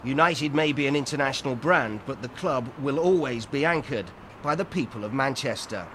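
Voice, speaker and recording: A man narrating a documentary in a measured, even scripted delivery. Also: medium pitch, slight background noise.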